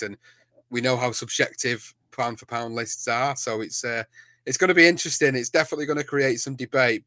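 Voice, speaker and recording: A man's voice: mid-range voice, fairly bright tone, no echo, clean studio sound. A man with a Manchester accent, a podcast co-host, talking casually in an interview with lots of fillers and restarts. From England. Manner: rambling, many fillers and restarts.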